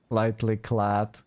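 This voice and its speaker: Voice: medium pitch, mellow. A let's play streamer giving casual gameplay commentary in sparse, offhand reactions, often echoing phrases.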